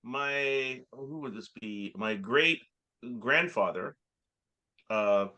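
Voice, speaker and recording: Guy rambling on a comedy podcast, bright sharp voice, lots of ums. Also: mid-range voice. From Canada. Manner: rambling, halting storyteller with frequent filler sounds and chuckles. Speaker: podcast co-host. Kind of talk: casual comedic chat.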